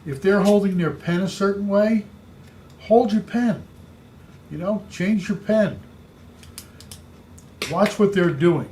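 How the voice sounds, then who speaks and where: A man with a big booming voice coaching real estate agents, speaking emphatically and repeating his key points. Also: slow, long pauses, noisy background. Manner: emphatic, repetitive coaching delivery. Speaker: real estate sales trainer. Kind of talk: instructional podcast talk.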